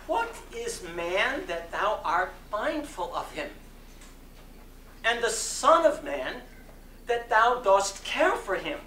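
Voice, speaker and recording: A man giving a dramatic sermon reading to a live audience, with deliberate, oratorical phrasing. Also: high-pitched, big pitch swings, bright, forceful tone. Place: community center hall. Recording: roomy echo, distant mic, faint noise.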